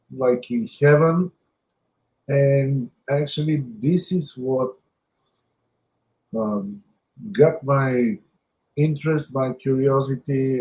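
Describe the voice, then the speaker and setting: Slow Bulgarian-accented man telling stories. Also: mid-range voice, a little mellow, low-quality recording. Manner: unhurried, many uh fillers, halting phrasing. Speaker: guest, audio company owner. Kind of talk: storytelling interview.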